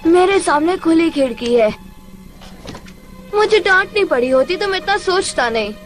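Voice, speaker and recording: Young cartoon boy, extremely high voice, mischievous, whiny, exaggerated, music behind. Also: lively intonation, normal speed. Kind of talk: Hindi-dubbed cartoon voice acting.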